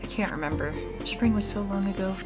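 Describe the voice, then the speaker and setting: A woman hosts a gaming video with casual, think-aloud commentary. Her voice sits at an ordinary middle pitch for a woman, and game music plays clearly behind her as she talks through each step. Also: fairly quick. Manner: narrates own actions aloud. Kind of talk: casual let's play commentary.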